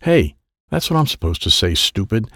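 Man putting on young alien bully, audiobook character, blustering, dim, indignant, taunting, wildly animated pitch. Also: normal speed, no room sound, clean studio sound, heavily acted.

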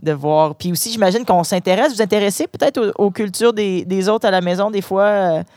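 A woman's voice: medium pitch, fairly quick, a little mellow, no echo. A woman with a Canadian French accent hosting a casual, chatty interview with schoolchildren and their principal. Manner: friendly, chatty, restarting phrases. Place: inside a parked truck.

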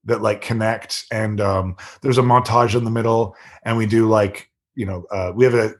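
A man talking in a relaxed, rambling way as a podcast guest, with a slightly nasal yet deep voice and many fillers. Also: moderate pace. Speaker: podcast guest, improv performer. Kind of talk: casual chat.

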